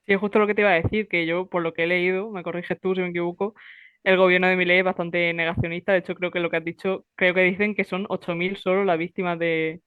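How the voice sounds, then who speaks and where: A woman's voice: on the low side, flat delivery, fast, clean tone, dry recording, phone-quality sound. Woman host, phone-thin voice. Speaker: podcast host. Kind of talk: casual interview chat.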